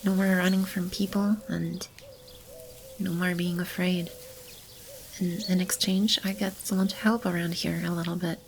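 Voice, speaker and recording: A gentle female demon, acted by a woman, speaks in a lowish, creaky voice with a tender, reassuring manner. It is an intimate, soft-spoken ASMR roleplay, with animal and bird ambience behind her. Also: flat delivery, moderate pace, slight background noise, natural voice. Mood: careful, reassuring. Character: gentle, caring female demon sheltering animals and a lost child. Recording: clearly audible ambient animal and bird sounds.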